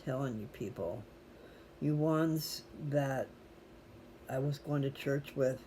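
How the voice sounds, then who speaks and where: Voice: medium pitch, takes it slowly, warm tone, slight background noise, poor audio. A chatty, folksy host talking casually and digressively to viewers. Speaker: host reading scripture aloud for viewers. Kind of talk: casual rambling personal talk to viewers.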